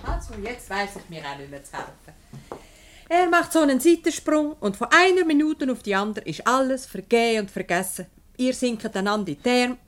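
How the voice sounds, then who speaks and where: Disapproving older mother, indignant, highish voice with big pitch swings, radio farce. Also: sing-song, fairly quick, fairly bright tone. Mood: exasperated. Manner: exasperated, indignant. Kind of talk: comedic radio play acting.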